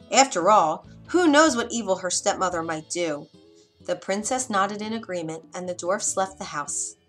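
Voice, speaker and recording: A woman's voice: medium pitch, a bit bright. A woman storyteller reading a scripted bedtime fairytale for children.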